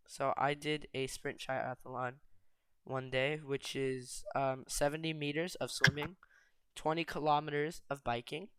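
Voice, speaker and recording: A man's voice: mid-range voice, fairly bright tone, smooth, no echo. A teenage boy whose first language is Greek, chatting casually and telling a rambling story about his summer. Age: teens. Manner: rambling, self-correcting storyteller. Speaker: podcast co-host. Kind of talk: casual chat.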